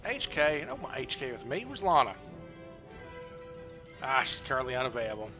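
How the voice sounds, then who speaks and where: A man's voice: medium pitch, very animated, brisk. A man in his thirties giving casual, jokey, exclamatory commentary while streaming a game. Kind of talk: casual reactive gameplay commentary. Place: indoor room, streaming setup. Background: game music and effects.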